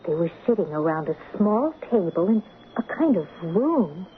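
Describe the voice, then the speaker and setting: An actress playing a dreamy, wide-eyed young heroine in a radio drama, speaking with entranced wonder. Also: female voice, fairly low pitch, very animated, normal speed. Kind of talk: 1970s radio drama acting, narrating a vision. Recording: lo-fi old radio broadcast, clear noise.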